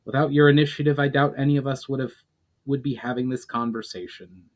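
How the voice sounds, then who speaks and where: Man voicing a weary, croaking elf leader. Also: medium pitch, steady tone, fairly quick, natural voice. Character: wounded, weary male elf leader, warm and proud.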